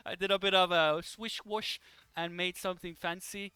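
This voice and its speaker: A man hosting an esports broadcast in a casual live style, with hesitant, halting phrasing. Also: high voice, steady tone, pushed and bright, smooth, dry recording. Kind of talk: casual live presenting and commentary. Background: music in the background.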